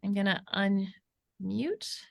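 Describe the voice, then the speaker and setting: A woman's voice: mid-range voice, animated, slow pace, vocal fry, no echo, clean studio sound. Upbeat woman moderating a call. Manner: upbeat, brief. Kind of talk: event moderation. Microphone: video call.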